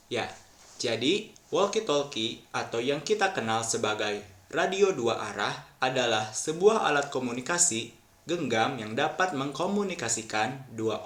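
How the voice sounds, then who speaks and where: A young man reading a university class presentation in a formal, measured way. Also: mid-range voice, a bit bright, echoey room. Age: young adult. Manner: formal, measured, read from a script. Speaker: student presenter.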